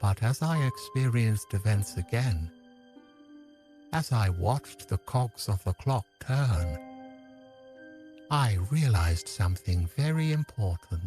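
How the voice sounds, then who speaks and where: Man playing a slow, solemn, regretful old man. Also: on the low side, lively intonation, mellow, soft background music. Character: lonely, regretful old man turned celestial time manipulator. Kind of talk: scripted audio drama acting.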